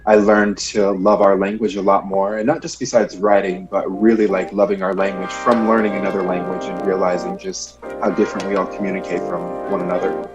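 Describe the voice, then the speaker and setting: A man's voice: deep voice, level tone, moderate pace, hardly any pauses, muffled recording. Thoughtful American man, music behind. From United States. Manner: thoughtful, hesitant with fillers. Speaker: guest. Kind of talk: casual interview answers.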